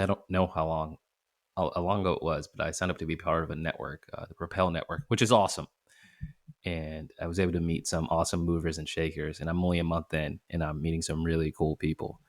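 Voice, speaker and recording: A man's voice: very deep voice, steady tone, brisk. An American man from the northern United States hosting a casual podcast interview, speaking in an enthusiastic, affirming way. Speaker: podcast host.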